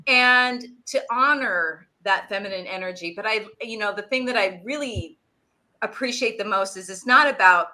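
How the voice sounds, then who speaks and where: A woman responding gratefully on a panel discussion, speaking warmly with soft laughs between points. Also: medium pitch, moderate pace, rough recording.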